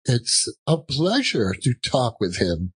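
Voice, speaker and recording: A man playing a giddy, reformed old gentleman in an audiobook, exclamatory and effusive, given to chuckling. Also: medium pitch, big pitch swings, slow pace, breathy edge, exaggerated voice. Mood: giddy delight.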